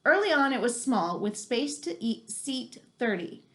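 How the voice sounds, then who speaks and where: A woman's voice: fairly high pitch, very animated, a little slow, fairly bright tone. A woman reading a nonfiction book aloud for children, chatty and exclamatory, with casual asides.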